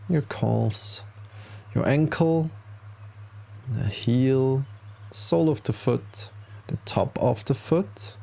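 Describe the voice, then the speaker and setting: A man leading a guided meditation as a teacher, speaking calmly in short, unhurried instructions with pauses between them. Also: medium pitch, creaky. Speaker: yoga nidra meditation teacher. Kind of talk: guided meditation, body scan.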